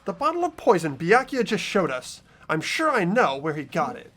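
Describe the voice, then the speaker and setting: Playthrough host, animated voice, joking and swearing, faint background music. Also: mid-range voice, moderate pace. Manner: lively, swears and laughs during banter. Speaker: host and player narrating the game. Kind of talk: narrating a game and joking with friends.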